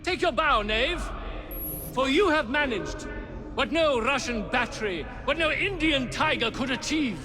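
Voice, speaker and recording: A pompous British lord and army officer, pitched very high and swooping grandly. He declaims slowly and melodramatically, like a wounded hero of a game cutscene making his final speech. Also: animated. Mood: grandiose outrage. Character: pompous, wounded aristocratic old army officer, theatrically dying. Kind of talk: theatrical video game acting.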